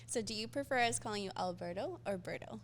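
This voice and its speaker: A woman's voice: mid-range voice, expressive, fast talker, a little hiss or hum. A woman hosting a casual interview, upbeat and friendly as she asks her guest questions. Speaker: host.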